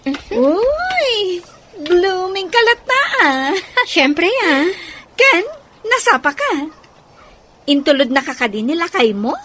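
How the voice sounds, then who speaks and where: A woman's voice: very high-pitched, very animated, takes it slowly, fairly bright tone, exaggerated voice. A woman with an Ilocano accent acting in a family comedy-drama for radio. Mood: chatty, teasing.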